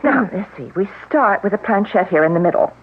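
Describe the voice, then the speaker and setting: An actress playing a gentle hostess in a 1970s radio drama, patiently explaining things. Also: female voice, mid-range voice, lively intonation, a little fast, close to an everyday voice. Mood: instructive. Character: gentle hostess, grown woman. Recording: tinny lo-fi old radio broadcast with faint hiss.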